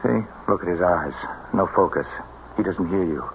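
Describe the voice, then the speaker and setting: Earnest low-voiced American radio-drama doctor. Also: male voice, moderate pace. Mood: concerned, professional. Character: earnest, compassionate military hospital doctor, middle-aged man. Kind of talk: old-time radio drama acting. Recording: muffled, noisy old radio drama recording.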